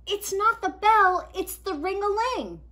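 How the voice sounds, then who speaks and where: An earnest young boy from a picture book, read by a woman, speaks in a high, bright voice. He explains himself with insistence, swinging his pitch as he stresses his words. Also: expressive, measured pace, heavily acted. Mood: insistent. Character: Nico, earnest young boy who loves drawing.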